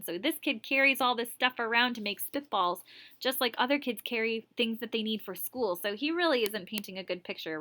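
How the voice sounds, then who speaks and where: A woman teacher reading a story aloud expressively, stopping for chatty asides to explain and comment. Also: a little high. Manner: animated, explains words and comments as she reads.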